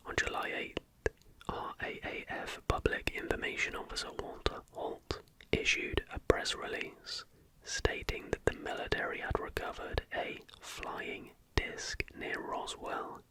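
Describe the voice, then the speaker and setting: An ASMR host reading scripted narration in a breathy, unvoiced whisper, phrase by measured phrase. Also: poor audio.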